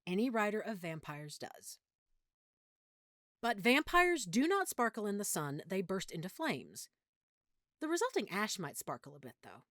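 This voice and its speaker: An affectionate girlfriend with strong opinions and a nerdy streak, acted by a woman for an audio roleplay. Her pitch moves animatedly as she explains things in a playful, fussy way. The sound is dry and intimate, like a one-sided chat between partners. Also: a bit bright, dry recording.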